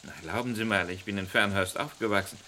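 An actor playing a chatty, helpful homeowner in a vintage radio crime play. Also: male voice, on the low side, fast talker, pushed and bright, slight background noise. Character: helpful homeowner who grew up locally. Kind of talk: 1950s-80s radio crime drama.

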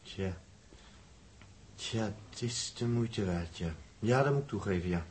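An actor playing a dry, grudging spaceship crewman in a 1960s Dutch radio play, with a husky voice and a Netherlands accent. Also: lowish voice, lively intonation, a little slow, darkish tone. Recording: 1960s radio drama recording.

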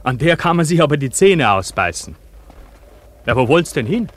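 An actor playing a brisk, skeptical moorland inspector in a radio drama. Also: male voice, on the high side, very animated, a bit bright. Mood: matter-of-fact, skeptical. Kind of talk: German radio drama acting. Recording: faint outdoor ambience with crows.

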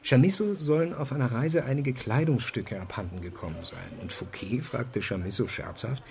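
An actor playing a self-assured, dominant intellectual in a radio drama, explaining insistently in a deliberate, lecturing way. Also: male voice, mid-range voice, gravelly, a crowd in the background, lightly acted. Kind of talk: German radio drama dialogue.

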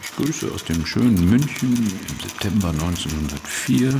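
A man's voice: low-pitched, lively intonation, normal speed, vocal fry, background noise. An actor playing a glib, scheming revolutionary father in a radio drama, with a theatrical manner. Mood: evasive. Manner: theatrical, dictating and breaking off. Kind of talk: German radio drama acting. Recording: music bed behind the voice.

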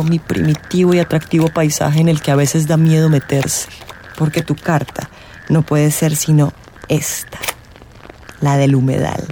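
A woman acting a mystical, teasing tarot reader in a radio drama, theatrical and suggestive, with dramatic pauses. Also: deep voice, dark tone, a little hiss or hum. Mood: teasing, ominous. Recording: music behind the voice. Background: music.

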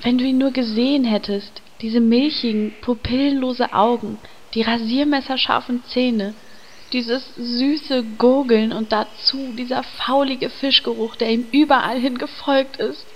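Young elf priestess, played by a woman, high-pitched, vain, pouting melodrama for an audio comedy. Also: fairly even intonation, unhurried, lo-fi sound, slight background noise. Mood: mournful, lovesick.

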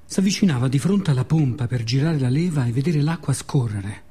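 Husky Roman man telling a theatrical story. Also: medium pitch, lively intonation, mellow. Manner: dramatic pauses, repeated phrases, short fragments. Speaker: actor and author of a radio monologue. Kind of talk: theatrical scripted storytelling monologue.

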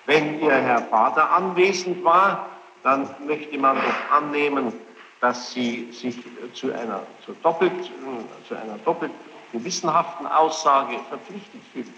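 A man questioning a witness as a judge in formal, halting German phrasing, insistent and reproachful. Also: medium pitch, bright, forceful tone. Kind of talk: courtroom interrogation, spontaneous formal speech. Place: large echoing courtroom. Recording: dull, noisy archive courtroom tape, echoey hall.

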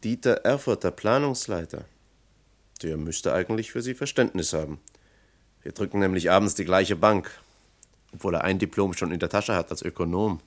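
An actor playing an earnest, dutiful East German factory official in a radio play, speaking in an official, insistent manner. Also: male voice, low voice, moderate pace. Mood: earnest, businesslike.

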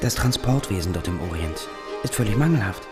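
An actor playing a frustrated visionary engineer in a German radio drama, earnestly and urgently pitching his plans. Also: lively intonation, fairly quick, music in the background. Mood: urgent enthusiasm. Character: frustrated visionary engineer, eager for capital, 19th century.

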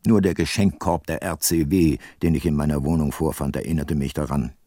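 Low-voiced, laconic private detective. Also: male voice, fairly even intonation, brisk, mellow, no echo, studio quality. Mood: reflective, wry. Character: weary, reflective male private detective narrating his case. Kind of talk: scripted narration in a radio drama.

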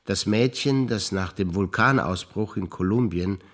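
A man's voice: on the low side, measured pace. An older man, a stage actor, telling wry memories in a theatre monologue.

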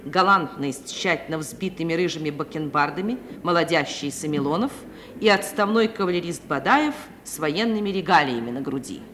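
A woman's voice: sits low, animated, brisk, fairly bright tone. A woman narrator reading scripted stage directions for a 1950s Russian radio play. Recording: faintly hissy 1950 radio recording.

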